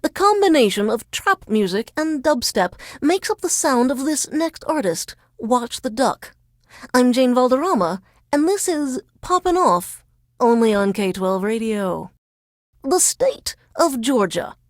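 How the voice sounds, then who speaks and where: A woman playing a sardonic female radio DJ in a video game, introducing songs in a deadpan, measured, formal announcer's delivery. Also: a little high, no echo, clean studio sound. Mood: poised, wry. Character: dry, sardonic female radio DJ under alien occupation.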